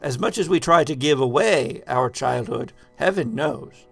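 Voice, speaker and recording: A man playing an eccentric spiritualist in a tabletop game, speaking in halting, ornate, philosophical phrases. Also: mid-range voice, expressive, creaky, a bit bright, breathy edge, soft background music, full character voice. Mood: mysterious, probing. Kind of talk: in-character tabletop roleplay.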